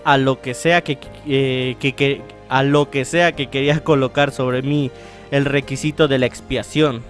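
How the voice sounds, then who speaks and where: Man, somewhat high-pitched, gaming host, casual let's-play delivery, halting read-aloud, self-correcting, faint music behind. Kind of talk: casual let's-play talk and reading visual novel text aloud.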